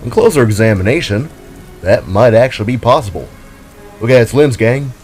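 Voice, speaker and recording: A man's voice: mid-range voice, lively intonation, fairly quick, a little mellow, soft background music, lightly acted. A man voicing a boastful, folksy adventurer in an audio drama. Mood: cocky.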